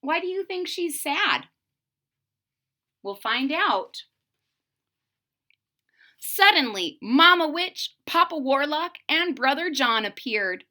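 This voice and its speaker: A woman reading a picture book aloud to children in a dramatic way, pausing to put questions to young listeners. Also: sits high, big pitch swings, bright, forceful tone.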